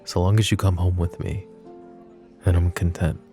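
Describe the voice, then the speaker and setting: A man voicing a possessive, flirtatious mafia boss in an ASMR roleplay drama, with a low, soft, teasing drawl. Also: flat delivery, normal speed, faint music behind, lightly acted. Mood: coaxing. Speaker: voice actor.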